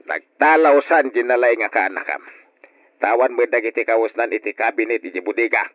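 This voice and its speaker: An actor with an Ilocano accent playing a harsh, middle-aged uncle who nags gruffly in old radio drama style. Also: male voice, mid-range voice, brisk, fairly bright tone, no echo, natural voice. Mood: annoyed. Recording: dull old radio recording.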